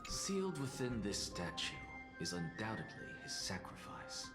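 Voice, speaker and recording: An actor playing a serious, reasoning young adventurer in a video game, speaking deliberately. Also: male voice, on the low side, sing-song. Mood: grave, thoughtful. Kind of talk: dramatic video game acting. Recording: echoey, music underneath.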